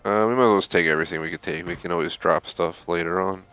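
Low-voiced man playing games. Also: fairly even intonation, fast, a bit bright. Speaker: gamer doing a playthrough. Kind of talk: casual game commentary. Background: game sound and music.

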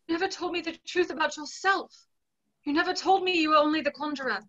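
A woman plays a young gentlewoman who feels let down and says so with formal, earnest reproach. Her voice sits high with a bright edge. It comes through a dull, lo-fi video call, as a play read from home. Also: fast. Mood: hurt, reproachful. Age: 20s. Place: small plain room at home, on a video call.

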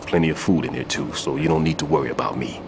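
An actor playing a grave, weary older bomb-disposal expert in a video game, measured and brooding. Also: male voice, a bass voice, noisy background. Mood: grave, advising. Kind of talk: dramatic video game acting. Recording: background music under the voice.

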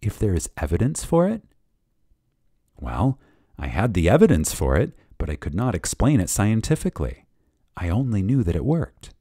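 Low, expressive man reading reverently. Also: no room sound, studio quality. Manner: reverent, emphatic, with deliberate pauses. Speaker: reader of a lecture. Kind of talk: dramatic reading of a spiritual lecture.

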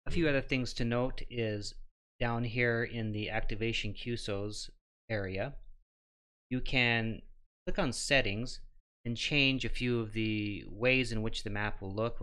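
Bright-voiced middle-aged man, low pitch. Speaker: host of a ham radio how-to show. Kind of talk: step-by-step tutorial narration.

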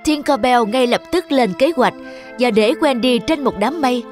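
A woman's voice: sits high, moderate pace. A woman narrating a scripted fairy tale for children. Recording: music under the voice. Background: music.

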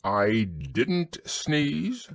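A man's voice: medium pitch, huge pitch swings, extremely slow, gravelly, dry recording, heavily acted. A man voicing a pompous, self-important owl in a storybook, speaking formally in long words. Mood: indignant. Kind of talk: storybook character reading.

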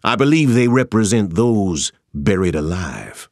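A man acting a grave, learned narrator reading his monster journal in an ominous, deliberate, husky voice for a game. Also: fairly low pitch, sing-song, no echo. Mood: ominous, studious. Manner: ominous, erudite, deliberate phrasing. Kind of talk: scripted dramatic game narration.

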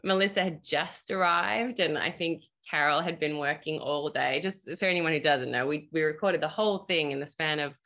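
A woman with a fairly low but bright, forward voice speaks over a video call. She tells stories casually and animatedly, as a director on a panel. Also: moderate pace, few pauses. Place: indoors at home on a video call. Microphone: video call microphone.

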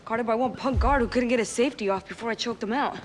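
A woman's voice: a little high, fast, a little hiss or hum, close to an everyday voice. An actress playing a tough, defiant young woman in a video game, guarded and hard-edged. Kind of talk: dramatic video game acting. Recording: loud music under the dialogue.

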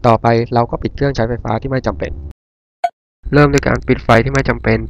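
A man's voice: mid-range voice, level tone, quick pace, creaky, background noise, close to an everyday voice. A man playing an earnest, eager young eco-hero in a cartoon, thinking aloud in an instructive way. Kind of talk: cartoon character monologue.

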